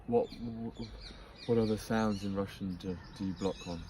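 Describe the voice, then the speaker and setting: A man with a very deep voice asks casual interview questions and restarts them halfway through. He sounds a little off-mic. A loud outdoor backdrop of birds and open air runs under him. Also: fairly even intonation, moderate pace, slight background noise.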